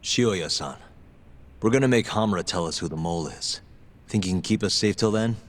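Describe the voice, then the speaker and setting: A man's voice: low-pitched, lively intonation, fairly quick. An actor playing a tough, cool and sardonic investigator in a video game cutscene, confident and taunting as he presses for answers. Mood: firm. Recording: dramatic music behind the voice. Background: background music.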